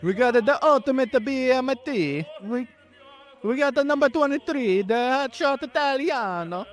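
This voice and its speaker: A man's voice: very high-pitched, lively intonation, normal speed, pitched above the performer's own voice. A man putting on a brash male Italian-American mobster caricature with an exaggerated mock Italian accent. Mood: boastful.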